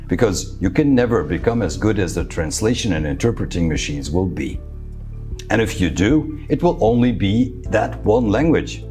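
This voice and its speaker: Male presenter, native Serbo-Croatian speaker, very low voice, measured scripted delivery, faint music under it. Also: darkish tone. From Serbia. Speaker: futurist presenter. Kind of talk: scripted talk to camera.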